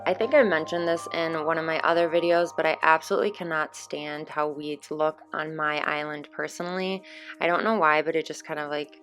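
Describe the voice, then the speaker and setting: A young woman hosting her channel, chatting casually over gameplay, her voice a little hoarse. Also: low voice, brisk. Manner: relaxed, chatty explaining with fillers. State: slightly hoarse from screaming. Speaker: gaming channel host. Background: soft background music.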